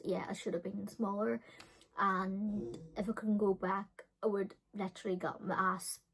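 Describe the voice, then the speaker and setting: A woman's voice: mid-range voice, gravelly, slightly rough, low-quality recording. A woman in her early thirties telling a personal story casually to viewers, rambling and often correcting herself with lots of fillers. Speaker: beauty and storytime vlogger.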